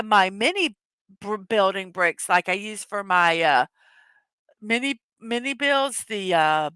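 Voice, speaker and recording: A middle-aged woman hosting a casual morning livestream, chatting and reading viewers' messages aloud, her voice gone a bit hoarse and rough. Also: medium pitch, a bit bright, no echo. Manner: chatty, reads chat messages aloud, laughs often. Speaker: livestream host.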